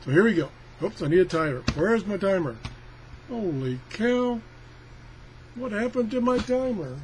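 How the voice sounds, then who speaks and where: Higher-pitched folksy man, noisy recording. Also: animated, normal speed. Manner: rambling, self-deprecating, folksy. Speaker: product tester presenting a radio review. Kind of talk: casual hands-on demo chat.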